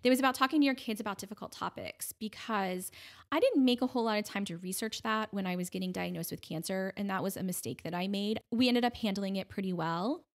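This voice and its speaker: A middle-aged woman with a mid-range voice talks as a guest in a conversational interview. She tells things in an animated way, slipping into quoted remarks as she explains. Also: mid-range voice, fast. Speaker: podcast guest, breast cancer advocate.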